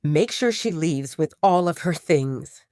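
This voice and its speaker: A woman narrator acts out a male pack leader with a hard temper. The voice drops low and speaks with stern, unbending authority, dry and close, in audio drama style. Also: lively intonation, slow pace, a little mellow, no echo, exaggerated voice. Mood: firm warning.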